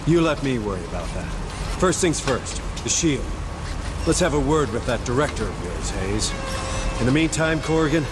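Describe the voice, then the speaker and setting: An actor playing a gruff, sardonic, battle-weary marine sergeant in a video game, blunt and challenging in manner. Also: male voice, medium pitch, very animated, normal speed, over music, natural voice. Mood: brisk, commanding.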